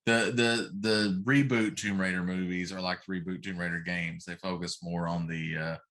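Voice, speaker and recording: A man's voice: low-pitched, level tone, moderate pace, a bit bright. Opinionated middle-aged man. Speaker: talk show co-host. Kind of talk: casual chat about films.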